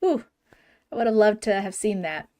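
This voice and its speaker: Brief: female podcast host, friendly, upbeat questions, middle pitch. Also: animated, normal speed, a little mellow. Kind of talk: casual interview chat.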